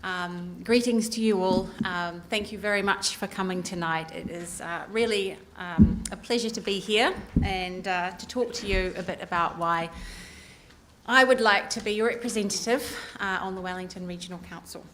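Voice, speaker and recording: A woman's voice: mid-range voice, normal speed, a bit bright. Brief: middle-aged New Zealand woman, earnest candidate speech, plenty of ums, faint room hum. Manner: earnest, many ums and uhs. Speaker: council election candidate. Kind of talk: campaign speech. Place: community hall meeting room.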